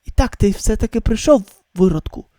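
A woman voicing a gruff, irritated survivor who grumbles curtly in an amateur manga dub. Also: medium pitch, animated, a little fast, warm tone, no room sound, studio quality, pitched below the performer's own voice, close to an everyday voice. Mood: bitter. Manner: curt, grumbling, sullen.

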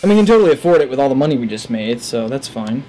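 A man's voice: medium pitch, big pitch swings, rapid-fire, mellow, soft background music, low-quality recording. A man giving casual, offhand gameplay commentary, musing aloud to himself into a headset mic. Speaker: gaming let's player. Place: indoors at a desk.

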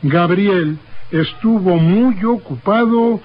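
An actor playing a grave, pious village priest in an old radio drama, with a solemn, deliberate delivery. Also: male voice, sits high. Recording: muffled, noisy vintage radio recording.

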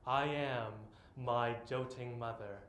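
A man in his twenties performing an autobiographical spoken-word monologue on stage, with dramatic, deliberate phrasing and long pauses. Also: mid-range voice, steady tone, fairly bright tone. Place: live on stage in a theatre. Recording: echoey theatre, live stage recording, background noise.

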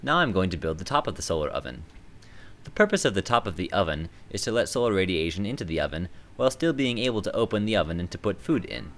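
A young man giving a scripted instructional voice-over with a clear, even, explanatory delivery. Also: sits low, fast. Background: banjo music.

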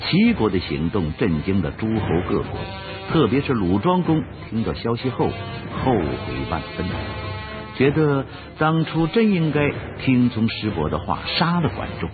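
Over clear background music, a man narrates a historical radio drama. His delivery is formal and declamatory with wide, lively intonation, and the sound is thin and band-limited like a phone line. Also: mid-range voice, normal speed. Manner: declamatory, emphatic storytelling. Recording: thin phone-like lo-fi broadcast audio.

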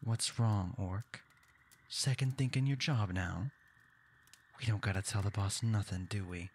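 A man's voice: fairly low pitch, a little slow, darkish tone, a little hiss or hum. A man playing a taunting, bossy bandit in an audio drama, with a sneering, intimidating manner. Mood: menacing, taunting. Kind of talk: audio roleplay drama acting.

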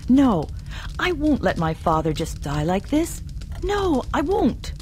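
A woman's voice: fairly high pitch, big pitch swings, slow pace, a little mellow, a little hiss or hum, voice pushed up. A woman voicing a devoted young man speaking earnestly and with emphasis. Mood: determined.

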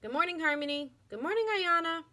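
A kindly, cheerful primary schoolteacher from a storybook, put on by a woman reader. She calls out in a high, lively voice with a greeting lilt. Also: fairly quick, exaggerated voice. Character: nice, cheerful fourth-grade woman teacher.

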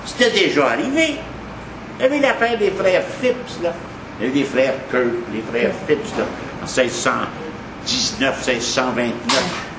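A man's voice: mid-range voice, expressive, unhurried. A man with a Quebec French accent giving an informal history talk in a café, emphatic and conversational, repeating points for weight. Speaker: history lecturer. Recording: echoey café, noisy background.

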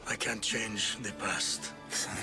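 An actor playing a stern, proud older Spartan general in a video game, grave and formal. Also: male voice, lowish voice, steady tone, takes it slowly, music in the background. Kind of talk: dramatic video game acting.

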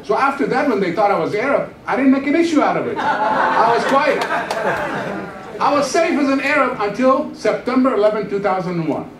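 A man's voice: very high voice, brisk, crowd noise, background noise. A man in his sixties from Iran, a pastor giving a joking testimony sermon on a church stage with a handheld microphone. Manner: storytelling, joking, animated gestures while pacing the stage. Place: church stage, large room. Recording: echoey church hall from far off.

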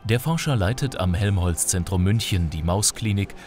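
A man narrating a scripted documentary voice-over in a measured, formal way. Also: fairly low pitch, lively intonation, darkish tone, faint music behind.

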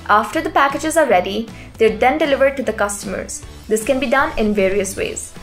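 A woman reading a scripted explainer narration in an even, polished voice-over. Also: on the high side. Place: voice-over over stock footage. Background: soft background music.

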